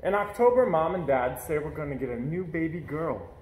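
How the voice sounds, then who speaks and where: Man, storybook narration, slightly high pitch, very animated intonation, roomy echo. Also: brisk, slight background noise. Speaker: storybook reader. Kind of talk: expressive children's read-aloud narration in a child's first person. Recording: echoey room.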